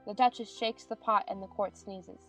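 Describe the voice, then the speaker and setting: A woman's voice: medium pitch, steady tone, moderate pace, music in the background. A woman reading scripted stage narration for a dramatized audiobook.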